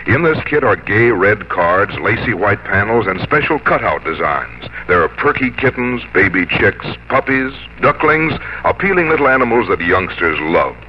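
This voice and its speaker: A man reading scripted copy as a polished, measured 1950s American radio announcer. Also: mid-range voice, background noise. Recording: muffled 1950s radio broadcast, music behind.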